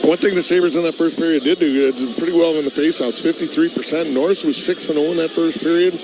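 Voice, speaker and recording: North American hockey analyst, slightly high male voice. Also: quick pace, music in the background, low-quality recording. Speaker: color commentator. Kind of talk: conversational live hockey analysis. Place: broadcast booth at a hockey arena.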